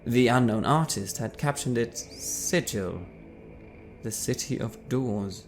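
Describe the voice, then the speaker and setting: A man giving a dramatic first-person reading as his character, measured and wry, letting phrase endings trail off softly. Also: medium pitch, music in the background. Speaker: player reading character backstory.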